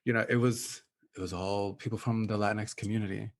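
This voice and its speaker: A man co-hosting a podcast in easygoing, measured casual chat. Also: lowish voice, steady tone, slight background noise. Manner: easygoing, measured, reads listener letter. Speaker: podcast co-host.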